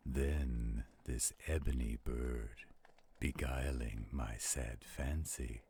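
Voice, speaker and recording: A man voicing a slow-spoken werewolf who solemnly recites gothic poetry in an audio roleplay, with deliberate, gravelly delivery. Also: rumbling low, steady tone, dark tone, full character voice. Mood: suspenseful, solemn. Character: slow-spoken male werewolf reading a poem by campfire.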